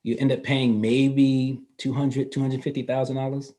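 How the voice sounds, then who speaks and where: A man in his fifties talks through financial ideas in an easygoing, explanatory way. His voice sits at an ordinary middle pitch, and he laughs readily, sometimes restarting his phrases. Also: flat delivery, normal speed, warm tone. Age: 50s. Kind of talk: casual interview explanation. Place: North Carolina.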